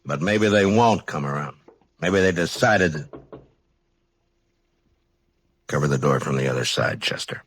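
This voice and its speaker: Unyielding frontier marshal for radio western. Deep, clipped, dry authority. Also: male voice, moderate pace, a bit bright, a little hiss or hum. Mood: low, wry. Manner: terse, commanding, dry. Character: stern, fearless frontier US marshal, grown man, dry and unyielding. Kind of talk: 1950s radio western acting.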